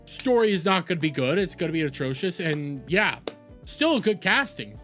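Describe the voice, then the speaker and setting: Guy doing opinionated stream commentary, really animated pitch, repeats himself for emphasis, faint music behind him. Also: normal speed. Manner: emphatic, ranting, repeats phrases. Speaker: host of a reaction livestream.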